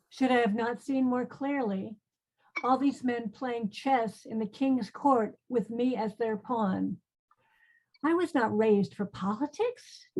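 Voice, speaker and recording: A woman's voice: on the high side, darkish tone, poor audio. A woman acting an earnest, proud, devout condemned queen in an online play reading. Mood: solemn, resolute.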